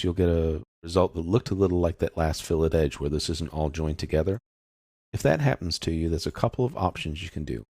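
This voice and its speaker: Deep-voiced man giving a software tutorial. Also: creaky, no echo, studio quality. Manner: informal, explanatory. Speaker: software instructor. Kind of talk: step-by-step screen tutorial narration.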